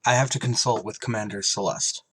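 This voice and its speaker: An actor playing a gruff, tormented emergency ship pilot in a radio drama, terse and weary under strain. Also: male voice, on the low side, fairly even intonation, fairly quick, a bit bright, no echo. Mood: tense, formal. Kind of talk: radio drama acting with narrated inner monologue.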